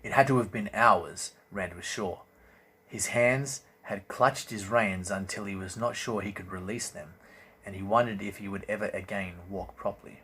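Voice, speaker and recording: A man reading a fantasy novel as dramatic narration, with deliberate, theatrical emphasis. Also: lowish voice, sharp tone, breathy edge. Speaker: audiobook reader, host. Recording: amateur recording with faint background noise.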